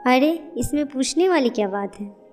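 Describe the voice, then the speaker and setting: A woman's voice: high voice, lively intonation, normal speed, darkish tone, soft background music. A woman voicing a kind, dutiful young village daughter-in-law in a family cartoon. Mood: gentle, caring. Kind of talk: Hindi family cartoon dialogue.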